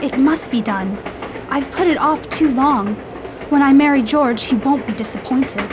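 A woman's voice: sits high, normal speed, noisy background, close to an everyday voice. A woman playing an eager, willful young fiancée in a radio drama, earnest and girlish in manner. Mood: earnest, determined.

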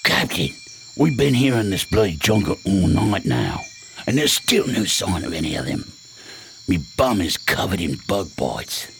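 A rough, salty sailor, acted by a man for radio theater. His low voice is full of gravel and swings animatedly as he grumbles, with noisy ambience behind. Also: very animated, unhurried, fairly bright tone, exaggerated voice. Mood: grumbling. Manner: salty, coarse seaman's talk. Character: rough, grumbling, observant pirate-hunting sailor. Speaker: actor in a radio play.

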